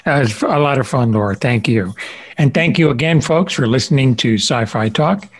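Man, podcast host, medium pitch, informal, affable, encouraging, conversational interviewing. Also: expressive, normal speed.

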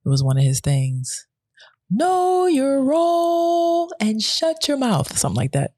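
A woman co-hosting a podcast in casual banter, with a playful, slangy, expressive way of talking. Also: sits high, measured pace, no echo. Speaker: podcast co-host.

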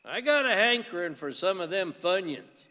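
An actor playing a cantankerous, bossy old grandfather who hollers gruffly in a radio play. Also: high voice, big pitch swings, moderate pace, pushed and bright, exaggerated voice. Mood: grumpy, demanding. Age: older. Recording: long hall-like reverb.

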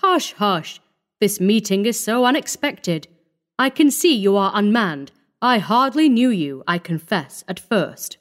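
A woman's voice: mid-range voice, sing-song, measured pace. A woman reader acts out a wealthy elderly physician from a storybook. He keeps up his dignity while sounding evasive and flustered. He placates with a condescending politeness. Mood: flustered, placating.

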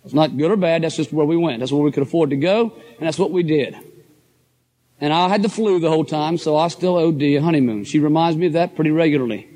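A middle-aged man with a hoarse voice, giving an enthusiastic, folksy motivational talk to a live audience. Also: a little high, fast, dark tone. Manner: folksy, enthusiastic, addresses crowd as guys and folks. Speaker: motivational speaker at a business convention.

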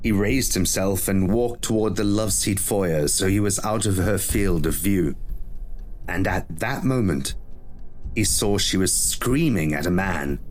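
A man's voice: sits low, a little slow, faint music behind. A man reading a scripted short story as a dramatic audio narrator.

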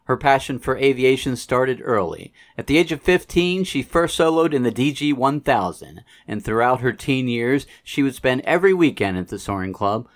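A man's voice: mid-range voice, animated, normal speed, hardly any pauses. An American man hosting a gliding podcast, reading the intro steadily and then interviewing a guest. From United States. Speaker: podcast host.

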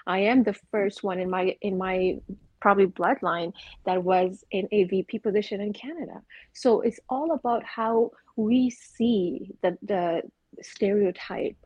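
A woman from Afghanistan speaking with earnest conviction on a casual podcast, her voice husky and a little breathy. The sound is dry and slightly muffled, with little room around it. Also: medium pitch, measured pace, no room sound. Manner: earnest, opinionated, spiritual talk. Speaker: podcast co-host.

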